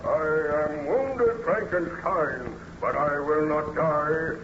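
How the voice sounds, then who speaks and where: An actor playing a wretched, bitter man-made monster in old-time radio drama, with slow, grave, declamatory speech and formal diction. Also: on the high side. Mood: wounded, defiant. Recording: muffled, noisy old radio recording.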